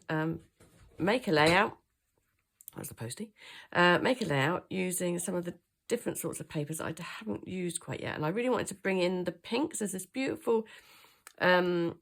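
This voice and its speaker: Throaty woman with a gravelly cold. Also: lowish voice. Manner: thinks aloud, hesitant with many ums. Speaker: craft channel host. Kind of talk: chatty hands-on craft tutorial.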